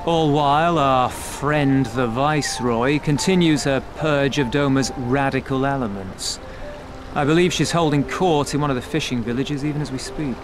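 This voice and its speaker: An actor playing a cynical, world-weary pirate in a video game, speaking in a wry, measured way. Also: male voice, medium pitch, music in the background, natural voice. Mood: sardonic, resigned.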